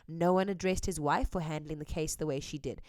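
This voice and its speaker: A woman with a lowish, smooth voice talks earnestly to camera, close on the mic in a dry space. She is candid and a little halting, with ums as she translates line by line. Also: steady tone, darkish tone, no room sound. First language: English. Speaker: host, translator. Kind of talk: earnest commentary and live translation.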